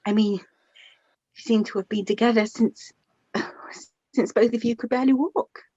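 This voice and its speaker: Woman acting middle-aged widowed mother, gentle, flustered, halting speech, slightly husky, muffled radio play sound. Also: fairly high pitch, steady tone, no echo. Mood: fond, nostalgic. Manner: hesitant, halting, repeating words, sighing. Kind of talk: naturalistic radio drama dialogue.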